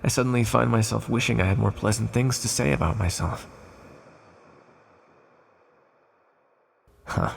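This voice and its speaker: A man voicing an ancient, imprisoned villain in an ASMR roleplay, condescending yet lonely and flirtatious, with smug, articulate, softly teasing delivery. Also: fast, a little hiss or hum. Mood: wistful, self-conscious. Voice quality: deep, gravelly, husky. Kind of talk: ASMR roleplay monologue to the listener.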